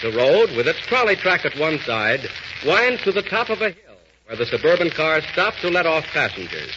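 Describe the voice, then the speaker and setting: A man's voice: a little high, big pitch swings, normal speed. A man narrating a radio serial in a dramatic, declamatory 1940s style. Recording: old muffled radio recording, clear noise.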